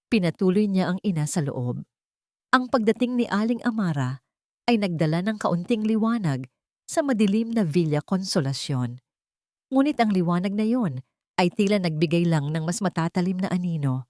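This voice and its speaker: A woman's voice: fairly low pitch, darkish tone, dry recording, clean studio sound. A woman narrator reading a scripted dramatic story.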